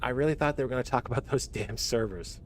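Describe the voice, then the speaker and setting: A man's voice: medium pitch, fast, a little hiss or hum. A man streaming live, chatting casually in a laid-back, rambling way with slang, his voice a little worn. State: voice getting worn. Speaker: streamer reacting to a game presentation. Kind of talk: casual livestream chat.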